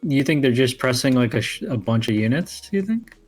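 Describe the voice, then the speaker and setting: A man's voice: medium pitch, animated, a little fast, husky. Informal man chatting over faint music. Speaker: talk show participant. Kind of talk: casual chat.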